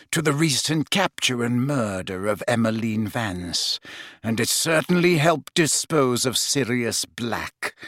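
Man playing Snape, smooth, disdainful double agent. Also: mid-range voice, a bit bright, dry recording, clean studio sound. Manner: deliberate, smooth, impatient, sarcastic. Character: cold, smooth, condescending male double-agent wizard.

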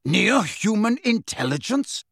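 A man voicing a proud, stern male centaur leader in a storybook, rough and haughty, with emphatic delivery. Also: on the high side, expressive, measured pace, fairly bright tone, no echo, studio quality, voice pushed up. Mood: haughty, offended. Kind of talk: audiobook character voice.

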